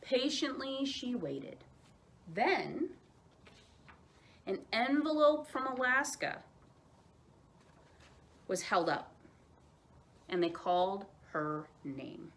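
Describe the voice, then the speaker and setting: A woman telling children's stories aloud as a library storyteller, expressive, with dramatic emphasis and pauses. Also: mid-range voice, vocal fry.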